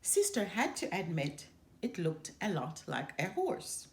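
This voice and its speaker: A woman reads a children's picture book aloud as its storyteller. Her delivery is expressive and slow, with lively swings in pitch, recorded in a roomy, echoing space. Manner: expressive, deliberate. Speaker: storyteller, channel host.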